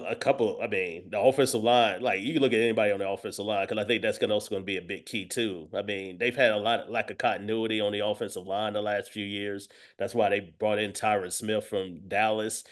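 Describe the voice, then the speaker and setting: A man with a fairly low voice talks through football analysis as a guest on a show. His delivery is casual and emphatic, and he often restarts and rewords his points as he thinks them out. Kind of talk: casual sports talk with analysis.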